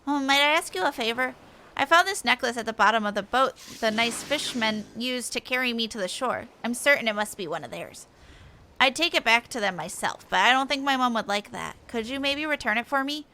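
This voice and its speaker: Earnest small boy acted by a woman, bright-toned, curious and innocent, fantasy game read. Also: on the high side, a little hiss or hum. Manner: innocent, chatty.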